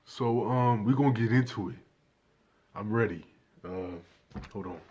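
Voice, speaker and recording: A man's voice: on the low side, unhurried, darkish tone, a little hiss or hum. A nineteen-year-old man doing casual reaction commentary, laid-back and swearing freely, talking straight to his viewers without a proper microphone. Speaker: reaction channel host.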